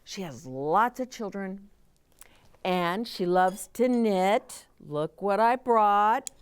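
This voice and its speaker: A woman's voice: mid-range voice, expressive, takes it slowly, smooth, no echo. A middle-aged woman reading a children's story aloud, expressively, with chatty asides explained simply as if to young children.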